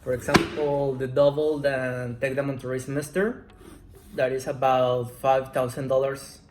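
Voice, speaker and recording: A man in his twenties, a Spanish speaker, answering interview questions in slow, careful English. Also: mid-range voice, flat delivery. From Mexico. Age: 20s. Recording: roomy echo, faint music.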